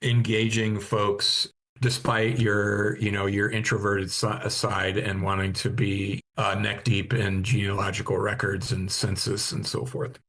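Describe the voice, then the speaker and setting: A middle-aged man from the American Midwest speaks as a guest on a video call. His voice sits fairly low, and he talks in a thoughtful, measured way with plenty of fillers. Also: level tone, fairly bright tone. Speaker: museum historian guest. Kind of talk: casual interview chat.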